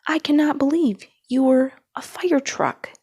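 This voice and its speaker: A woman voicing an excitable, doubting male race car in a cartoon storybook, exclaiming in disbelief. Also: sits high, measured pace, no room sound, clean studio sound, pitched above the performer's own voice. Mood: incredulous. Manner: incredulous, exclaiming.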